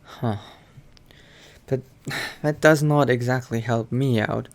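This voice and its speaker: A man narrating his video game roleplay in character, thinking aloud with pauses. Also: mid-range voice.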